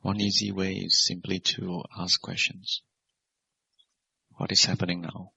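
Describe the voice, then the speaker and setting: A man teaching guided meditation as a Buddhist monk, speaking in measured, simple phrases and gentle questions. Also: sits low, flat delivery, gravelly, slightly rough, dry recording. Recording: dull, lo-fi recording.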